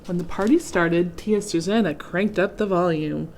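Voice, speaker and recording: A woman's voice: lowish voice, moderate pace, darkish tone, a little hiss or hum. A woman reading a children's picture book aloud expressively, adding chatty asides to young listeners. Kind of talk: children's storybook read-aloud with casual asides.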